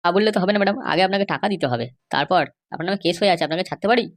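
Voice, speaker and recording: A woman's voice: fairly low pitch, rapid-fire, close to an everyday voice. A woman voicing a stubborn, bribe-seeking police officer in a comedy cartoon, insistent, lecturing and dismissive. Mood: insinuating. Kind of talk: Bengali comedy cartoon dialogue.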